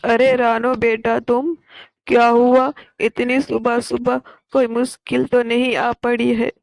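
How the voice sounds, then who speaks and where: A woman's voice: on the high side, flat delivery, slow pace, gravelly, darkish tone, dry recording, low-quality recording. A woman voicing a kind, motherly old grandmother bird in a cartoon. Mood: concerned.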